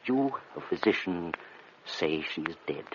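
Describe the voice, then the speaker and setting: An actor playing an intense male hypnotist in a gothic radio drama, solemn, deliberate and commanding. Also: deep voice, animated. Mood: grave, defiant. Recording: dull radio recording with faint noise.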